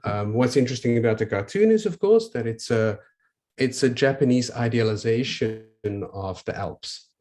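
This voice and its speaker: A South African man whose first language is Afrikaans, explaining his work in an informal, measured presentation with frequent pauses. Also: mid-range voice, lively intonation, darkish tone. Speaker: photographer presenting his photobook. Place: online video call.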